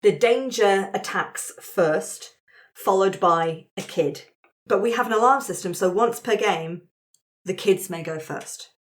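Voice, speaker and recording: A woman's voice: mid-range voice, unhurried. A woman explaining game rules conversationally as facilitator, checking in with her group of players.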